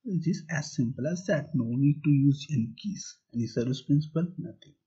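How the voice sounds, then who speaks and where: Mellow-voiced man explaining a tutorial. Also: medium pitch, a little fast, husky. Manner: plain step-by-step explaining, asks and answers own questions. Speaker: tutorial instructor. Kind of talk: explaining slides and screen demo. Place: voice-over. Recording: muffled lo-fi recording.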